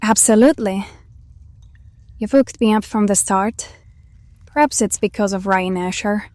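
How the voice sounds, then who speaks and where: A woman's voice: medium pitch, unhurried, a little mellow, no echo, natural voice. An actress playing a teasing, sardonic, hard-drinking female hireling who coaxes her companion in an audio drama.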